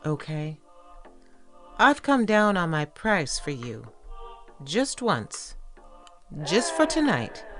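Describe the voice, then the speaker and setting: An actress playing a weary, teasing, tender estranged wife in an audio play, speaking in a slow, coaxing way. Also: female voice, on the low side, sharp tone, heavily acted.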